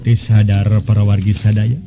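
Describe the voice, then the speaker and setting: A man's voice: fairly low pitch, little pitch movement, moderate pace. A man with a Sundanese accent telling a serial folk tale as a dramatic storyteller. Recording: slightly dull sound, music bed. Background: music.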